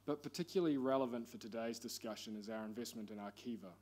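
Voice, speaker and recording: A middle-aged man with a New Zealand accent addresses a conference panel. He speaks in a measured, formal way at a moderate pitch. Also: a little mellow. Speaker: panel speaker, investment executive. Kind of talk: conference presentation.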